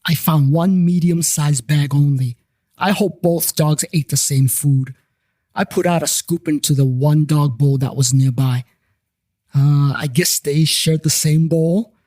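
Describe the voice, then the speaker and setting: A man's voice: a little high, fairly even intonation, unhurried, mellow, no room sound, clean studio sound. A man reading a scripted first-person story as its storyteller.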